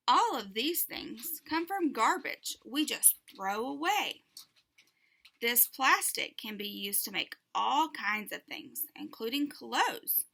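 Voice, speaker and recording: Storybook schoolteacher, a man played by a female reader: patient, instructive, bright and lively in pitch. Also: creaky, slightly rough, exaggerated voice. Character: male schoolteacher, patient, keen explainer of recycling.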